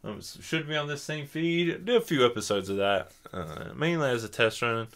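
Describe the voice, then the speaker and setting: A man's voice: mid-range voice, animated, normal speed, a bit bright. A young man hosting a podcast, chatting casually in a rambling, self-deprecating way while a little tipsy. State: tipsy from drinking. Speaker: podcast host.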